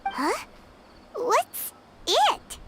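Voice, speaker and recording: Squeaky, excitable little fairy companion. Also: female voice, very slow, noisy background, full character voice. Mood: curious. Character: tiny, excitable floating fairy companion, childlike and fretful. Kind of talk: video game voice acting.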